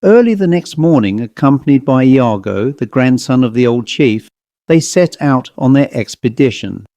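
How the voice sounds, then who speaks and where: A man narrating a scripted story as an audiobook reader, in a measured, deliberate manner. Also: medium pitch, lively intonation, warm tone, no room sound.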